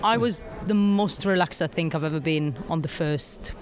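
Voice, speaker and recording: A woman's voice: low voice, normal speed. A woman, a professional dancer, speaking in a supportive, agreeable way about her partner's work. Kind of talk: post-performance interview. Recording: busy crowd noise behind.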